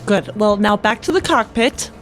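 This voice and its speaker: A woman's voice: medium pitch, animated, moderate pace, soft background music, close to an everyday voice. A woman playing an encouraging, lightly teasing elephant-like spacer teaching dance in a tabletop game. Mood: pleased. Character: female humanoid elephant spacer, good-humored dance teacher with trunk.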